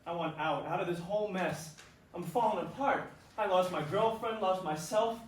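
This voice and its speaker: A man's voice: high voice, moderate pace, natural voice. Man acting a defeated baseball star, very echoey. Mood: desperate. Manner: confessional, defeated. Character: disgraced cheating baseball star, remorseful and jealous. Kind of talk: staged play reading, dramatic dialogue. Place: theatre stage at a staged reading. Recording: very echoey stage, distant mic, faint noise.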